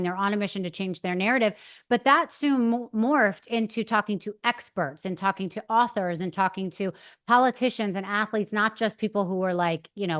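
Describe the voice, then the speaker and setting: A woman's voice: mid-range voice, a bit bright, clear, resonant tone. A woman hosting a podcast in casual chat, encouraging and talkative. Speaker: podcast host.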